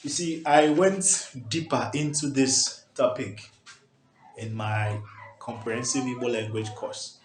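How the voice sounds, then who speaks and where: Man, language teacher, slow deliberate delivery, faint hiss behind. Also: medium pitch, steady tone. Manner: emphatic, repeats points with "okay?" checks. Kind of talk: whiteboard lesson. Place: small indoor room before a whiteboard. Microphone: camera at close range. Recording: echoey room, faint background hiss.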